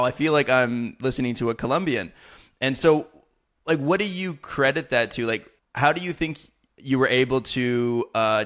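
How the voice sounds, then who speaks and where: A man's voice: medium pitch, fairly bright tone, clear, resonant tone. A man hosting a podcast interview, asking curious follow-up questions with a few hesitant "um"s.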